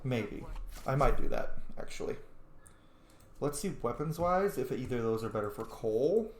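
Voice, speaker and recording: A man's voice: mid-range voice, lively intonation, normal speed. A man giving casual gameplay commentary, thinking aloud and muttering to himself as he goes. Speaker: let's play host. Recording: roomy echo, faint background noise.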